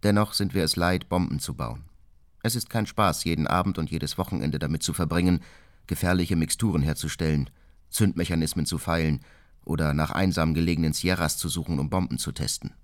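Cold bitter anarchist bomber in a radio play, low voice, measured and deliberate, super dry. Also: male voice, no room sound. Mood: cold, menacing. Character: male anarchist bomber, cold, embittered, self-justifying writer. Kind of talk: radio drama monologue, read confession.

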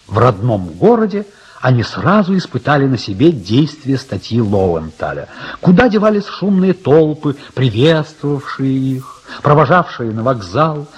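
A man's voice: medium pitch, darkish tone, breathy edge, dull audio. A man giving scripted, dramatic literary narration for a radio play.

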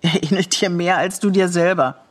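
Radio drama: actress as middle-aged wife, husky, reflective, disillusioned, probing and challenging. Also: female voice, lowish voice, steady tone, moderate pace, close to an everyday voice. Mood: pointed, challenging. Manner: reflective, challenging, pointed questions. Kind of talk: naturalistic German radio drama dialogue.

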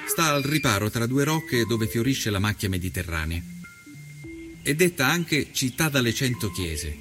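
A man's voice: mid-range voice, lively intonation, normal speed, music in the background. A man giving a scripted, dramatic narration of a historical account as a storyteller. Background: musical soundtrack.

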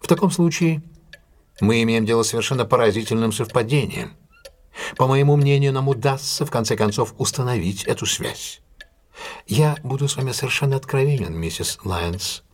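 An actor playing a confident, courteous male detective in an audio drama, speaking in a measured, deliberate and formally polite way. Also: medium pitch, lightly acted. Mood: insistent, measured. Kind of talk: audio drama acting, classic detective story.

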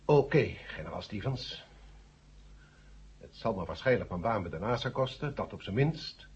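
A man's voice: on the low side, lively intonation, moderate pace, a little mellow, slightly rough. An actor playing a stern, indignant space agency chairman in a 1970 radio drama, speaking formally and pompously. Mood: grudging, resigned. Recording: dull, muffled old radio recording.

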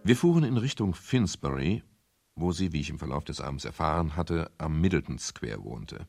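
Radio noir private eye: deep, husky, dry and wry, world-weary. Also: male voice, very animated, normal speed, darkish tone, no room sound, faint music behind, exaggerated voice. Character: world-weary male private detective narrating his case. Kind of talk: 1970s German radio crime drama, first-person narration and dialogue.

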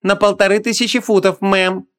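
A man's voice: high voice, level tone, moderate pace, fairly bright tone, clear, resonant tone, voice pushed up. A man voicing a prim, deferential ghost butler who speaks formally and politely in an audiobook. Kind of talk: audiobook character acting.

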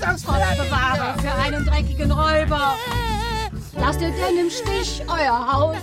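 A high-voiced, fretful lady's maid. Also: measured pace. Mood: scandalised, reproachful. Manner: fretful, reproachful, melodramatic. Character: anxious, scandalised loyal lady's maid. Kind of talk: satirical radio play acting. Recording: loud music under the voice.

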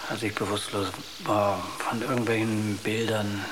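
Male actor as dazed crewman, lowish voice, halting, urgent, sci-fi radio play, noisy background. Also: little pitch movement, natural voice. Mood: groggy, confused. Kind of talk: German radio drama acting.

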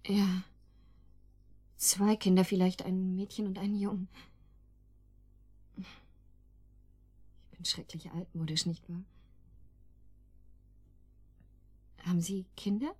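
An actress playing an anxious, trusting young housewife in a German radio drama, speaking in a hesitant, earnest way. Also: female voice, mid-range voice, level tone, slow, pauses often, a little mellow, breathy edge. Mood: uneasy, wistful. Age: young adult.

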